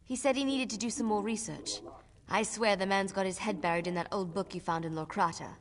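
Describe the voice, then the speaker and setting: A woman playing an earnest, expressive helper in a fantasy video game, with a conversational delivery. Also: medium pitch, brisk, lightly acted. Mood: fondly exasperated. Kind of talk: scripted video game dialogue.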